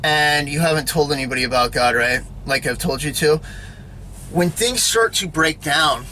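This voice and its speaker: A man's voice: on the high side, moderate pace, sharp tone, slight background noise, rough recording. A man giving a casual, rambling spoken sermon, often checking in with "all right?" and "you know".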